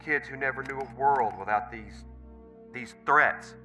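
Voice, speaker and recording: A man's voice: mid-range voice, measured pace, sharp tone, recorded from a distance, soft background music. A man playing an earnest, grandiose chief executive giving a public speech in a radio drama. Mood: earnest, rousing.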